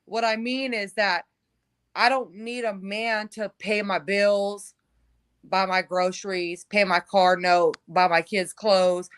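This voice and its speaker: A woman's voice: mid-range voice, fairly even intonation, takes it slowly, fairly bright tone, smooth, no echo, studio quality. A woman in her forties telling her personal story as an interview guest, conversational, emphatic and self-assured. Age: 40s.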